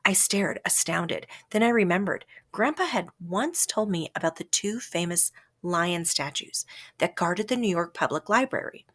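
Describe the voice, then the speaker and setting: A woman reading a children's story aloud as scripted narration, with a dramatic, expressive delivery that varies for effect. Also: on the low side, normal speed, slightly rough. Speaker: storybook reader.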